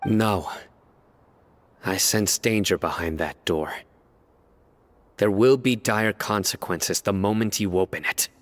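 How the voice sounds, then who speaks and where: Stern low-voiced investigator in echoing hall. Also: male voice, slow, long pauses. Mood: firm, resolute. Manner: terse, grave, resolute. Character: stern, principled male investigator, terse and unbending. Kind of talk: dramatic video game voice acting. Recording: long reverberant hall echo.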